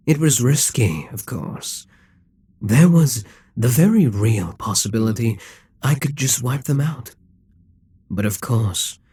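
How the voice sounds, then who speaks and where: A man playing a smug, dominant Demon King in a dramatic ASMR roleplay, speaking in a husky, taunting, persuasive way with deliberate pauses. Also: medium pitch, dark tone, no echo, heavily acted.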